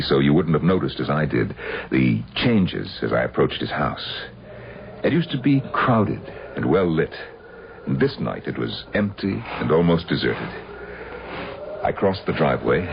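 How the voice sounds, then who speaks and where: A brooding widower in a radio drama. His very deep voice creaks and swings widely in pitch as he hesitantly recalls the past. The sound is dull and old. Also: sing-song, normal speed, exaggerated voice. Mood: brooding, reflective. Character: troubled, brooding widower recounting his dead wife's past. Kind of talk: radio drama acting and first-person narration. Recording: dull, hissy radio broadcast recording.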